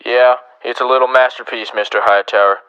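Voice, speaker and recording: Male actor as stern Old West lawman, forceful edge, terse, sardonic, American, radio play, slightly dull recording. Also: mid-range voice, steady tone, fairly quick, clean tone, lightly acted. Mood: dry, sardonic. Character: stern, dry, world-weary US marshal in Old West. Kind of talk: Western radio drama acting.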